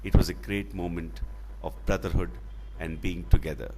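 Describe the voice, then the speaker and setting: A man telling a children's fable in a dramatic, expressive way, with deliberate phrasing. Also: low voice, slight background noise, rough recording. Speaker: storyteller.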